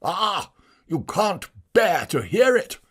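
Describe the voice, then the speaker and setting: A man's voice: on the low side, sing-song, a little slow, breathy edge, voice pushed up, heavily acted. A man playing a melodramatic self-pitying tragedian. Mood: bitter. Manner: melodramatic, wounded, declaiming. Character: self-pitying theatrical man, bitter and proud.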